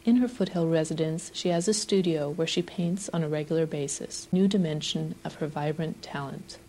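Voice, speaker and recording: A woman reading scripted documentary narration in a smooth, even way. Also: sits low, normal speed, rough recording.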